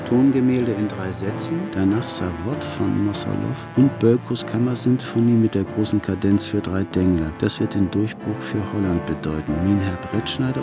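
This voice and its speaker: Loud music plays under a low male voice. A man acts a courteous, fussy correspondent in a radio play, reading his letters aloud in formal phrases. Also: lightly acted.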